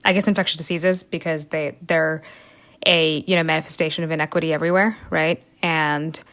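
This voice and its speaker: A woman's voice: deep voice, fairly even intonation, brisk, a bit bright, dry recording. A young woman from South India, a podcast guest who talks freely with many fillers and frequent laughs. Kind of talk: casual podcast interview.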